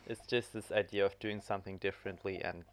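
A young man from Germany, a podcast guest speaking in a relaxed, thoughtful way. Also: low-pitched, fast, slight background noise. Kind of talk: casual podcast chat.

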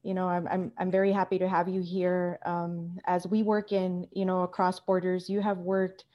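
A woman's voice: lowish voice, level tone, moderate pace, lo-fi sound. A woman moderating a panel discussion in an informal, conversational way.

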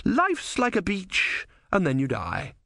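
A man voicing a haughty sea goddess who speaks in a slow, disdainful drawl in an audiobook. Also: high voice, wildly swooping pitch, gravelly, pushed and bright, no room sound, studio quality, pitched above the performer's own voice, full character voice. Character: haughty female sea goddess, coolly dismissive of humans.

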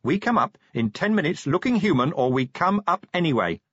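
A man's voice: fairly high pitch, big pitch swings, brisk, husky, dry recording, dull audio, voice pushed up. A man voicing a commanding female werewolf police sergeant in an audiobook, curt, bossy and exasperated. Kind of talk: audiobook character voice.

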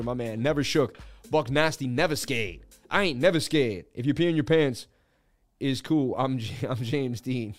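Host: man, going hoarse, boastful, excitable trading streamer, faint music bed behind. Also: medium pitch. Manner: hyped, boastful, laughing banter with chat. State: losing his voice. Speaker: crypto livestream host. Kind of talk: casual livestream market commentary.

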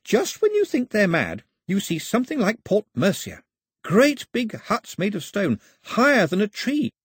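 A man acts out a cheerful, talkative young male islander from a storybook. The voice jumps high and swings about eagerly, explaining everything with emphasis, recorded close and dry. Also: wildly swooping pitch, unhurried, slightly rough, no echo, full character voice. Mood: enthusiastic. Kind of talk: storybook character dialogue.

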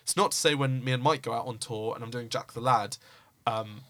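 A young man chats as a guest, thinking out loud and circling back to restart his sentences. His voice sits in a middle register. The sound is dry and close, with no room behind it. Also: mid-range voice, a little fast, dry recording. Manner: thoughtful, self-correcting, animated explanations. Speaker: guest poet. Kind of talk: casual conversation.

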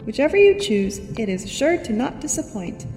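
Polished female announcer, highish soft-toned voice, promotional, reassuring, long reverb, faint music. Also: very animated, normal speed. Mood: inviting, promotional. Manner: formal, courteous, promotional. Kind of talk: scripted public announcement in audio drama.

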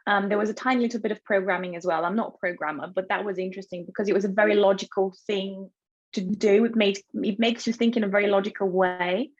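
Woman, 30s, from Bulgaria, interview guest, friendly, thoughtful, filler-heavy pauses, middle pitch, slightly muffled audio. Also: steady tone. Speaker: guest, cloud solution architect. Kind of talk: casual interview answers.

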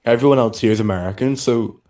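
Man, Irish accent, deadpan, dry one-liners, casual banter. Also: medium pitch, fast.

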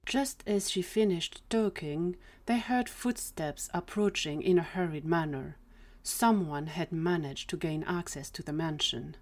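A woman's voice: on the low side, a little slow, darkish tone. A woman narrating a scripted fiction story as the narrator of an audio drama.

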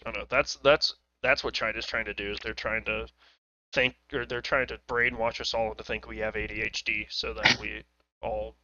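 A man's voice: on the low side, level tone, normal speed, sharp tone, lo-fi sound, a little hiss or hum. A young man hosting a casual podcast, joking and laughing often with friends. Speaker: podcast host.

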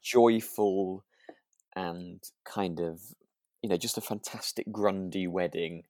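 A man with a low voice, recorded dry with little room sound. He co-hosts a relaxed conversation, feeling his way through sentences haltingly and sounding earnest. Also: dry recording. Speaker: podcast co-host. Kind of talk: relaxed casual chat about a radio drama.

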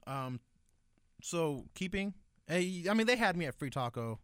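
A man's voice: on the high side, very animated, a little slow, fairly bright tone, clean tone, no room sound, clean studio sound. A man co-hosting a casual food chat, talking in a laid-back, slangy way. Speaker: podcast co-host.

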